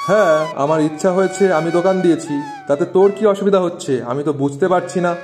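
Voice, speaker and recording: Man playing a brusque, high-voiced rival cartoon shopkeeper. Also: quick pace, over music, close to an everyday voice. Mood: dismissive. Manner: brusque, insistent. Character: stubborn, curt, greedy male shopkeeper, the rival friend. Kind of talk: moral-story cartoon voice acting.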